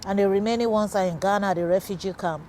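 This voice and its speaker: A woman's voice: medium pitch, quick pace, a little mellow, slight background noise. A woman from Liberia, giving grave testimony in short interview answers. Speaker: interviewee, war survivor.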